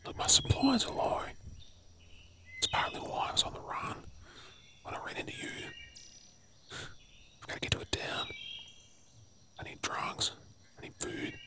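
An Australian man playing a weary, protective survivor of a zombie apocalypse in a whispered ASMR role play, hushed, urgent and coaxing. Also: natural voice.

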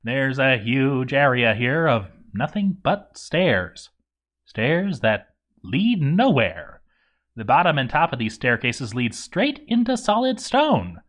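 A man's voice: mid-range voice, big pitch swings, slow pace, fairly bright tone. A man voicing a grouchy, boozy zombie dwarf overseer in a game journal story, stammering and exasperated. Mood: exasperated, baffled. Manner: stammering, exasperated, hammy delivery. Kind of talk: dramatic reading of a game journal.

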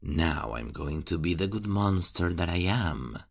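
A man voicing a calm, mocking vigilante killer who speaks slowly and with quiet menace in an ASMR horror roleplay. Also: sits low, creaky. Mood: calm commanding. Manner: slow, teasing, quietly menacing.

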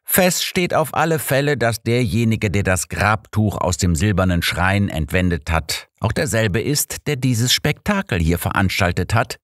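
A man's voice: lowish voice, animated, measured pace, hardly any pauses, no room sound, studio quality, voice pushed up. A man voicing an old master detective in an audiobook, precise, formal and self-assured in his explanations. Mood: explaining, assured. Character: old master detective, analytical, sure of himself.

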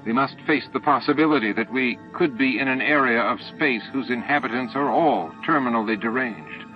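A man's voice: mid-range voice, normal speed, voice pushed up, natural voice. A man voicing a pedantic alien science master who explains things precisely, in an audiobook. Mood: matter-of-fact. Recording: dull lo-fi tape audio with music.

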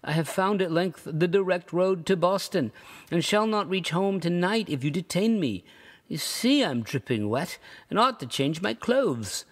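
A man voicing a bewildered, indignant lost male traveler in a storybook reading, with a high, strained, protesting voice. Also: lively intonation, unhurried, in a large hall.

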